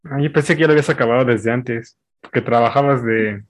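Young man, casual chat, mid-pitched, talkative. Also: fast. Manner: talkative, explains with examples. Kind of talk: casual chat among friends.

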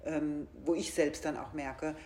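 A woman's voice: low-pitched, level tone, measured pace, dark tone, slight background noise. A woman coach with a German regional dialect, talking in a hesitant, thoughtful way with many fillers. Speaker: coach and podcast host. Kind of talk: casual conversation. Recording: echoey room.

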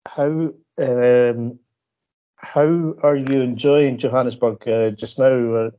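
A man's voice: medium pitch, steady tone, slow. A man asks long, winding interview questions, stumbling over words and restarting often in a casual, self-deprecating way. The recording sounds somewhat dull and lo-fi. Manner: rambling, stammering, self-deprecating.

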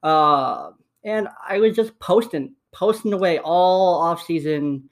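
A man's voice: a little high, slow. A man in his twenties with a slight lisp hosting a casual solo podcast at home, upbeat and rambling, repeating himself. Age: 20s. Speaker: podcast host. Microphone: USB desktop microphone.

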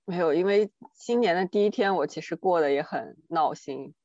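A woman's voice: fairly low pitch, normal speed, darkish tone, dry recording. A woman co-hosting a podcast, telling personal anecdotes in a casual, exasperated, rambling way. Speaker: podcast co-host. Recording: dry, slightly dull lo-fi audio.

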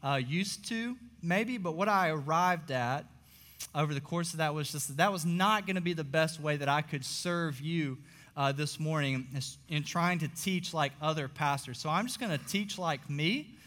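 A young man, a pastor, preaching a sermon in a church auditorium, his voice slightly hoarse, earnest and full of "uh" fillers. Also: a little high, moderate pace, fairly bright tone. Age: young adult. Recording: long reverb of a large hall.

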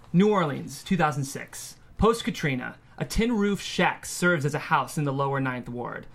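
A man's voice: on the high side, gravelly, a bit bright. A man hosting a studio table-read show, presenting and reading out screenplay narration in an upbeat way.

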